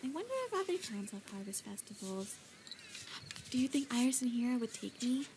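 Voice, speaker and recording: Girl voicing a super chirpy clueless shopkeeper, high and bouncy, chatters on and can't make up her mind. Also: brisk, background noise. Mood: earnest. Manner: excitable, chatty, indecisive. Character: bubbly naive young woman guild shopkeeper. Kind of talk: roleplay voice pack.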